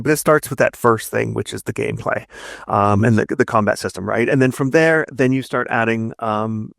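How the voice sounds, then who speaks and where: A man's voice: mid-range voice, gravelly, no room sound, studio quality. A man giving explanatory answers as an interview guest, rambling and repeating himself, often checking in with "right?". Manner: rambling, repetitive, often tags statements with "right?". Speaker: interview guest, narrative designer and writer.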